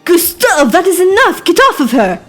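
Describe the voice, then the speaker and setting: A woman's voice: extremely high pitch, sing-song, normal speed, faint music behind. A woman voicing a haughty, theatrical aristocratic lady who peppers speech with French endearments, for an amateur game. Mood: commanding. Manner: imperious, grandiose.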